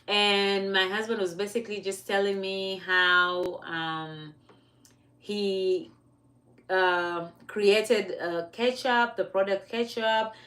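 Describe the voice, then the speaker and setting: A woman's voice: medium pitch, steady tone, takes it slowly, fairly bright tone. A woman from Kenya with a Kenyan accent, talking on a casual motivational livestream, chatty and laughing often, stopping to correct her own words. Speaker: livestream host.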